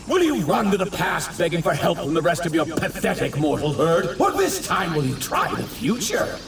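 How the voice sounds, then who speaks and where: Anime villain role: self-righteous deity, grandiose and contemptuous of mortals, unusually high for a male, sweeping wildly across the register. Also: creaky, far from the mic, exaggerated voice. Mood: exultant, menacing. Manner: pompous, grandiose declaiming. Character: arrogant, fanatical male god villain who scorns mortals. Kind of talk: theatrical anime dub villain acting. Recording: echoey clips with leftover sound effects.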